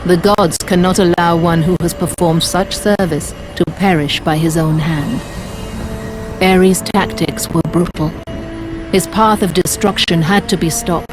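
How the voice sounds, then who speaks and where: A woman's voice: fairly low pitch, steady tone, music in the background, close to an everyday voice, low-quality recording. Woman as a solemn, commanding Greek goddess. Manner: measured, formal, grave. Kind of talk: dramatic video game voice acting.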